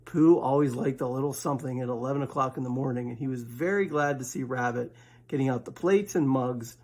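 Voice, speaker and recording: A man's voice: mid-range voice, fairly quick, a little mellow. A man reading a children's bedtime story aloud as the storyteller.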